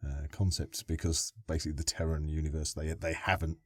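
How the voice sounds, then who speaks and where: A man's voice: extremely low pitch, flat delivery, brisk, husky, no echo, clean studio sound. A man hosting a casual podcast interview, asking long, winding questions with many restarts. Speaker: podcast host.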